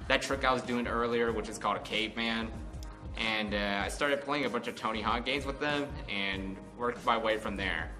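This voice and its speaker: A young American man with a fairly low but very bright, forward voice chats his way through a personal story. He rambles conversationally without a script, with music playing faintly behind him. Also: normal speed. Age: 20s. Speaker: vlog host. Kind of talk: casual unscripted storytelling.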